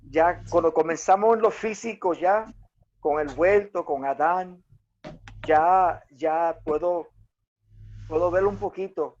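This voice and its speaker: A man whose first language is English, speaking Spanish in a group Bible discussion. He talks haltingly, with many restarts, and reads verses aloud. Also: sits high, darkish tone. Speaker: Bible study participant. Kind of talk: unhurried reflective group talk. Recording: dull lo-fi audio.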